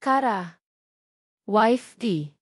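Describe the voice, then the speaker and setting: A woman voicing a hot-tempered, commanding billionaire husband with a forceful, demanding manner in a dramatised novel reading. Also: fairly high pitch, animated, extremely slow, husky, no echo, clean studio sound, full character voice. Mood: anxious.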